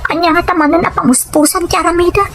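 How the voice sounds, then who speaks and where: A woman's voice: very high voice, fairly even intonation, fast talker, a bit bright. A woman with a Filipino Ilocano accent playing a deceitful gambling wife in an audio drama, with music behind her. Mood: anxious, frustrated. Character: deceitful gambling wife and dutiful-sounding daughter. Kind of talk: scripted audio drama acting. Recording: faint music bed behind voice.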